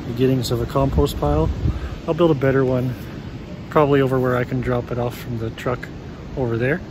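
A man's voice: medium pitch, fairly even intonation, a little fast. A man in his thirties giving a casual tour of his garden outdoors, explaining his plans in a plain, practical way into a phone held at arm's length. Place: outdoors on a snowy rural acreage. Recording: loud wind and outdoor noise.

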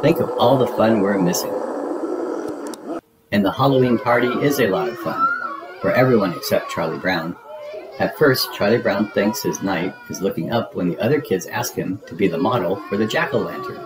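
A man narrating a children's storybook in an expressive, deliberate storytelling manner. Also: on the low side, background noise. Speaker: read-aloud narrator. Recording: music behind the voice. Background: music.

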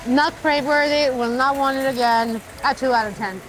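High-voiced Swedish woman tasting food. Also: normal speed, a little hiss or hum, low-quality recording. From Sweden. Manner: chatty, animated, rates food out loud. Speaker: food vlogger. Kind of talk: casual food-tasting chat. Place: outdoor food court seating under a roof. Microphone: lapel mic.

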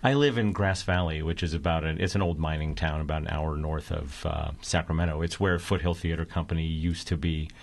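A middle-aged American man with a low-pitched voice talks as an interview guest in a radio studio. His delivery is casual, with a dry, wry streak. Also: fairly even intonation, brisk, creaky, few pauses. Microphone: studio broadcast microphone.

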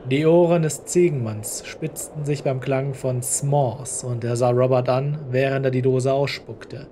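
A man's voice: medium pitch, fairly even intonation, a little slow, warm tone, a little hiss or hum. A man reading scripted story narration as an audiobook narrator.

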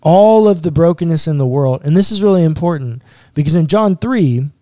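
A man in his thirties, a pastor, earnestly explaining a Bible passage step by step in a podcast conversation, close to a boom mic in a small studio. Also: lively intonation, fairly quick, mellow, no echo. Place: small studio room with bookshelves.

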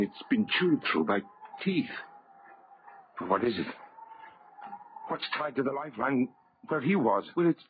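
A man playing a horrified seaman in an old radio horror play, halting and awestruck, on a noisy vintage recording. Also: mid-range voice, phone-quality sound. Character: horrified seaman reporting to his captain.

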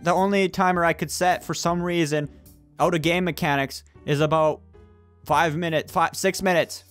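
Higher-pitched young man in playful gaming banter. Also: steady tone, normal speed, a bit bright. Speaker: gaming video player. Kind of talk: casual gameplay banter with friends. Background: background music.

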